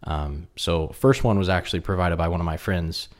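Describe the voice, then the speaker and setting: A man's voice: sits low, normal speed. A man hosting a casual podcast at his kitchen table, chatting easily with a guest with lots of fillers. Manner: relaxed, friendly, lots of fillers. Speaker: podcast host. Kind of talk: casual interview chat. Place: home kitchen.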